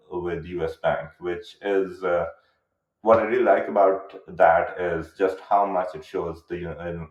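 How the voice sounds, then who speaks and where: A man's voice: sits low, a little mellow. A man hosting an interview, asking questions hesitantly with many fillers. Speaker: interview host. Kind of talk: conversational interview. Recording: roomy, dull sound.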